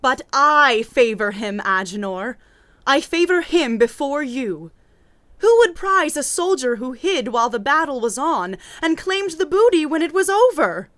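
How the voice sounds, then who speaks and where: An actress playing a proud, defiant young princess in an audiobook, speaking in an indignant, cutting way. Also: female voice, high-pitched, sing-song, unhurried, a bit bright, no room sound, exaggerated voice. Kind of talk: theatrical audiobook acting.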